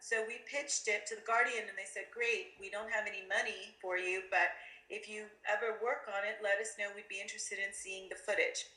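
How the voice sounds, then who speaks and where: A woman's voice: medium pitch, little pitch movement, brisk, sharp tone, low-quality recording. A woman from Afghanistan who grew up in the States, a documentary filmmaker answering interview questions candidly, with fillers and restarts.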